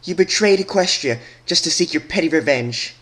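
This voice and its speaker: Stern, wrathful pony princess for a fan-fiction drama, played by a male reader: raised pitch, regal, commanding, accusatory. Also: expressive, moderate pace, a bit bright. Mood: bitter reproach.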